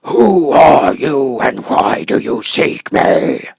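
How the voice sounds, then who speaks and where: Storybook monster tyrant: gruff, roaring, loud, drawn-out and imperious. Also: male voice, on the high side, wildly swooping pitch, dry recording, voice pushed up, exaggerated voice. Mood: booming, menacing. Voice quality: gruff roar. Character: huge dreadful roaring beast, a wizard in monster shape.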